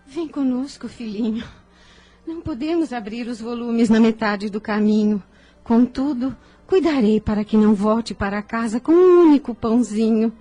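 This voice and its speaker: Woman as a tender mother, high mellow voice. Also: normal speed, dry recording, soft background music, lightly acted. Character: tender, compassionate mother of a young son. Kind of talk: radio drama acting.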